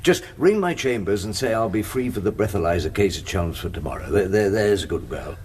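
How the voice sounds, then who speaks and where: A male actor plays an old English defence barrister in a radio drama, with a low voice that moves expressively. He is wry and world-weary, picking out wordy, ironic sentences deliberately. A faint noise sits behind him. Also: animated, darkish tone, natural voice. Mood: flustered. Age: older. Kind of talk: British radio drama narration and dialogue.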